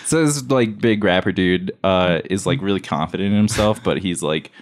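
Low-voiced man riffing casually. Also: moderate pace. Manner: profane, slangy, often slips into acted bits. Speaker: sketch comedy podcast crew member. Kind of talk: casual comedic brainstorming chat.

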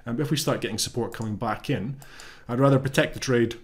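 A man in his thirties with a slight cold, explaining a trade in a relaxed, thinking-aloud way from his home office webcam. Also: mid-range voice, fast talker. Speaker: trading educator. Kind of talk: casual explanatory live-trade walkthrough.

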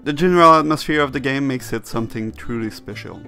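A man's voice: medium pitch, fast talker, vocal fry, sharp tone. A man reading a scripted video essay that reviews games, in the role of narrator. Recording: music bed behind the voice. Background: music.

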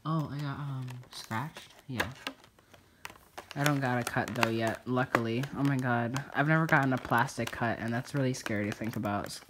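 A young man with a stuffy, congested nose talks his way through a toy unboxing at a table at home. His chatter is loose and rambling, full of ums and side remarks, at a middling pitch. Crinkling packaging and clicks sit clearly behind his voice on the phone recording. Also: moderate pace, noisy background. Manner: chatty, rambling, many fillers. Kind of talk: casual unboxing commentary. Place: indoors at a table in a home room.

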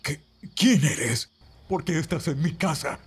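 A man with a Latin American accent playing an earnest, frightened but defiant captive in an animated fandub. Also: fairly high pitch, slow, slight background noise. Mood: alarmed. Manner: earnest, dramatic. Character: frightened, defiant man pleading with his captor. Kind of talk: amateur animated fandub acting.

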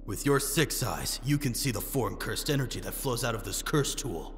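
A man voicing a smug, menacing male assassin in an amateur manga dub, mocking his opponent in a deadpan, unhurried way. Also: medium pitch, slight background noise, pitched below the performer's own voice, natural voice. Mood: calculating.

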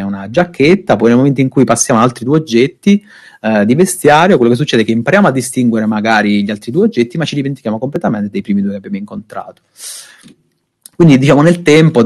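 A young man giving a technical talk over a video call from a room at home, explaining loosely with many fillers and restarts. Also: on the low side. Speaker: speaker. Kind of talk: online tech talk.

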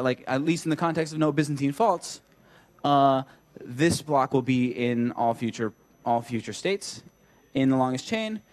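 A man's voice: medium pitch, darkish tone. A young man giving a technical talk at a meetup, thinking aloud with many fillers and restarts while he draws on a flip chart. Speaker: speaker at a tech meetup. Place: meetup room with a projector.

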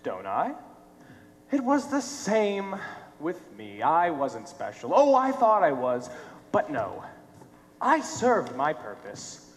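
A man's voice: a little high, wildly swooping pitch, slow pace, heavily acted. A young man on stage playing a sardonic, theatrical tormentor who taunts cruelly. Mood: bitter, cruel. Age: young adult. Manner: theatrical, mocking. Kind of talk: high school stage play acting. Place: theater stage, filmed from the audience. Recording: echoey theater stage, noisy hum.

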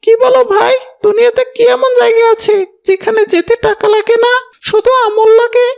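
Squeaky, creaky little cartoon boy. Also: fairly even intonation, normal speed, darkish tone. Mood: curious, eager. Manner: eager, questioning. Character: eager, curious little boy in an Islamic cartoon.

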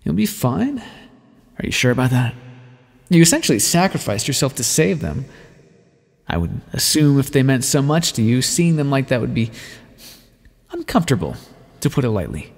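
A man playing an aloof, teasing vampire scientist in an ASMR roleplay, speaking wryly and formally with a gravelly voice. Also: medium pitch, sing-song, moderate pace, pauses often, darkish tone, in a large hall, heavily acted. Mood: wry concern. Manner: wry, condescending, formal wording with pet names. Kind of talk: ASMR roleplay, scripted intimate monologue to the listener.

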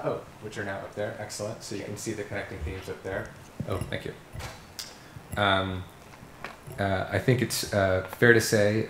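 A middle-aged man runs a student panel in a university seminar room. His voice sits a little low and carries echo from the room, with faint background hiss. He talks in a friendly, organized way, with plenty of "uh" fillers. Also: fairly bright tone. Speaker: panel facilitator. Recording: echoey room.

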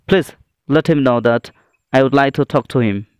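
A man teaching a scripted English lesson, reading out phrases in a deliberate, phrase-by-phrase way. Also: mid-range voice, clear, resonant tone, dry recording.

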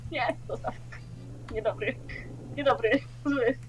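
A woman's voice: a little high, fairly even intonation, takes it slowly, poor audio. A woman playing a video game with friends, chatting casually with exclamations and swearing. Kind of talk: casual chat during co-op gaming. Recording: clear background noise.

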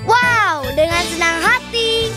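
A woman's voice: very high-pitched, animated, slow, heavily acted. A woman voicing a cheerful, eager little baby shark in a children's cartoon. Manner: cheerful, eager, sing-song. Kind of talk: cartoon voice acting. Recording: loud children's music behind.

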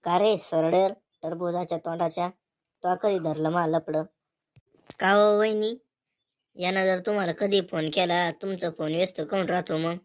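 A woman's voice: lowish voice, fairly even intonation, normal speed, a little mellow, lightly acted. Cheeky young village photographer lad acted by a woman. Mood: teasing, bossy. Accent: rural Maharashtrian. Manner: bossy, sarcastic, teasing. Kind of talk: cartoon comedy dialogue.

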